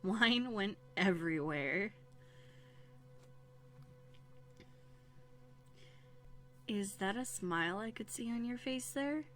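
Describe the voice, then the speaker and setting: A woman playing a young runaway princess in a roleplay audio drama, bookish and quietly bitter, talking earnestly and hesitantly into a close microphone. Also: mid-range voice, animated, a little slow, faint music behind. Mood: amused, teasing. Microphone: close USB condenser microphone.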